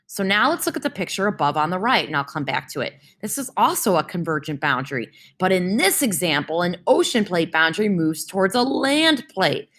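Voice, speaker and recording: A young woman teacher with a slightly low voice talks her class through a science reading. She stops often to check that they follow, asking "right?". She makes points clearer with everyday comparisons. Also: sing-song, fairly quick, hardly any pauses, a bit bright. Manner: explains with homely comparisons and keeps checking in with "right?".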